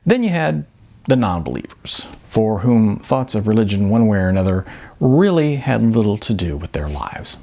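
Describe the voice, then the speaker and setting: A man reads from his own novel with unhurried, expressive delivery. His low voice has a gravelly edge, and his pitch rises and falls in animated swings. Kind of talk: audiobook-style novel reading.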